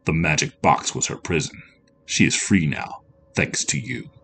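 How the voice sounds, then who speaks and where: A man voicing a calm rabbit-faced trickster spirit who speaks in a cryptic, deliberate manner. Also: low-pitched, creaky, a little hiss or hum, full character voice. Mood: solemn.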